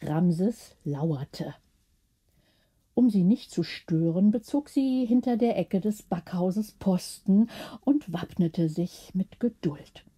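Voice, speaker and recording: Slow, dark-voiced woman reading a story. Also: lowish voice, expressive, breathy edge. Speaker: audiobook narrator. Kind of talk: scripted bedtime story narration.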